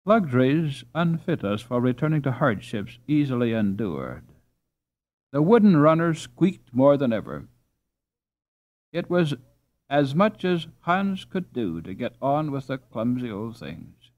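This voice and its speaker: A man narrating a children's storybook in a measured, expressive reading style. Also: medium pitch, muffled recording. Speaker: audiobook narrator.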